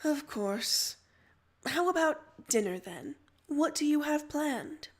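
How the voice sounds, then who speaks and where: A woman's voice: high-pitched, animated, slow pace, voice pushed up. A woman voicing a prim, probing teenage girl with a formal, insistent way of talking, in a story reading. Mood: exasperated, prying.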